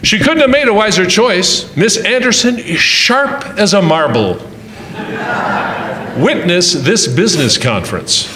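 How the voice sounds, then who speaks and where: A man's voice: high voice, sing-song, unhurried, crowd noise. A man playing a long-suffering, wry husband in old-time radio comedy, narrating his married life with dry, sardonic asides. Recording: roomy, echoing hall, faint music.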